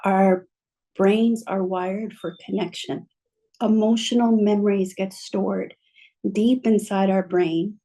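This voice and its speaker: A woman giving a talk by video call, slowly guiding listeners through a calm, soothing reflection. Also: mid-range voice, poor audio. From mother from Mexico, bilingual. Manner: gentle, slow, soothing guidance. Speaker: guest speaker.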